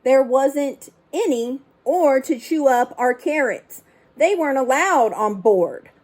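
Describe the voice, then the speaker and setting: A woman reading a picture book aloud like a teacher, expressive and instructive, speaking to young listeners. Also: high voice, slow. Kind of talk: storybook read-aloud with brief asides.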